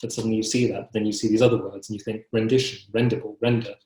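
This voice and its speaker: A young man talks reflectively in an interview, halting and rephrasing as he goes. His voice sits fairly low and stays in a narrow range. The sound is a roomy, echoing video call from a room at home. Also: level tone, dark tone. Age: young adult. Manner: hesitant, halting, self-correcting. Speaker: poet being interviewed. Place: book-lined room at home, indoors. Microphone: computer mic on video call.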